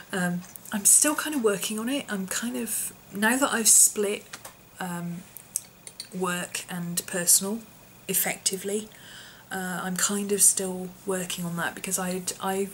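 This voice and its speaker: A woman, her voice hoarse and husky from an infection, chatting casually in a vlog with lots of ums and small laughs. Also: mid-range voice, steady tone, slow pace, a bit bright, a little hiss or hum, poor audio. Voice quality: husky, often whispery. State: hoarse, voice partly lost from infection. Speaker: vlogger.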